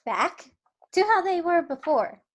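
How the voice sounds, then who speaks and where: A woman's voice: extremely high pitch, big pitch swings, measured pace, exaggerated voice. A woman voicing a grudging, dry alien sidekick who dislikes humans, in a script read-through.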